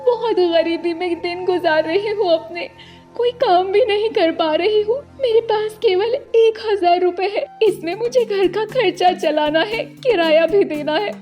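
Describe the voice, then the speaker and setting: Cartoon mother bird, played by a woman: high-pitched, plaintive, poor and troubled, over clear music. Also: unhurried, darkish tone. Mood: sorrowful. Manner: plaintive, pleading. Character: distressed poor young mother bird, tearful and worried.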